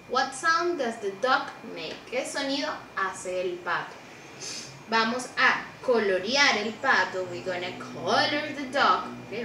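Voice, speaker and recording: A young woman teacher with a bright, clear voice and a slow, patient delivery, walking small children through a lesson. She repeats every word twice and keeps checking that they follow. She sounds a little distant, in an echoey room with a faint hiss behind her. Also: medium pitch. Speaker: preschool English teacher. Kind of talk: bilingual online class for young children. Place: small room indoors, seated beside a monitor.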